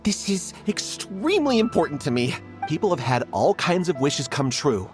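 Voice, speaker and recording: An actor playing an earnest, hopeful struggling writer in an anime game, with a pleading, dramatic manner. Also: male voice, a little high, sing-song, normal speed, over music.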